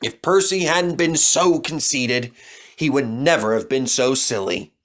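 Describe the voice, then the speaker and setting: A man's voice: medium pitch, measured pace. A man narrating a children's storybook as a scripted read-aloud.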